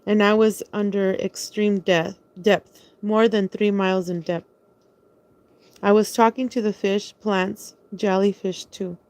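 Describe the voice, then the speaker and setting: A woman's voice: medium pitch, steady tone, unhurried, a little mellow. A woman telling her own story in a casual, rambling monologue, hesitating often, restarting sentences and spelling out words letter by letter.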